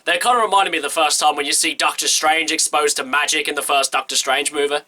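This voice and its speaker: A man's voice: on the high side, fairly even intonation, bright, forceful tone. A young man with a stuffy nose from a cold, giving a chatty, enthusiastic film review as a vlog host. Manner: chatty and enthusiastic, quick run-on sentences. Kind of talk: casual movie review to camera.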